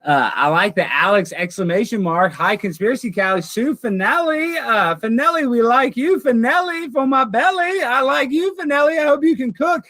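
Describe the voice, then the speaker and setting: A man's voice: very high voice, animated, moderate pace, hardly any pauses, pushed and bright, no echo. A man hosting a livestream, chatting with his viewers in a goofy, rambling way. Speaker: livestream host. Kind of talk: casual livestream chat.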